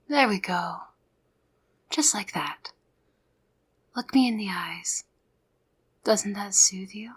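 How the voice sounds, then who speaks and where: A young woman playing a soft-hearted, easily exasperated secret agent in an ASMR audio roleplay. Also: medium pitch, breathy edge. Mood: whispered soothing.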